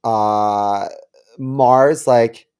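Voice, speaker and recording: A podcast voice of ambiguous sex at a middle pitch. The delivery is casual and halting, feeling out each thought aloud, and inclined to joke. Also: steady tone, darkish tone, clear, resonant tone. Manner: hesitant, halting while thinking aloud.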